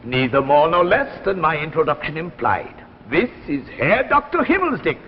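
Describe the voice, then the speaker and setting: Theatrical, pompous old professor, very animated. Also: male voice, on the high side, normal speed, slight background noise. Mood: gloating. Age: older. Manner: pompous, theatrical, savoring phrases. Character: retired physics professor, older man, smug eccentric inventor. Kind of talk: 1950s radio drama acting. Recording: dull old radio recording, long reverb.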